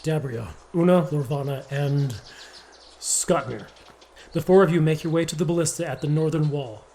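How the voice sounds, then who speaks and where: An authoritative band leader played by a man with a somewhat high voice. He lays out orders emphatically in a fantasy audio drama, with some ambient noise behind him. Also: normal speed, warm tone, natural voice. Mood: commanding. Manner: emphatic, commanding. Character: authoritative, exasperated male party leader planning an attack.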